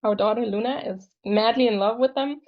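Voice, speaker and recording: A woman's voice: on the high side, a little fast. A young woman shares a personal story in a casual, conversational way. Her voice comes through a video call with a slightly muffled, lo-fi sound. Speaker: program participant telling her story.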